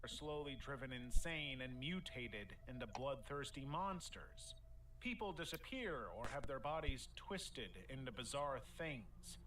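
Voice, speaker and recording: A man's voice: medium pitch, expressive, measured pace, fairly bright tone, recorded from a distance, slight background noise, rough recording. A man reading scripted narration for a horror explainer video.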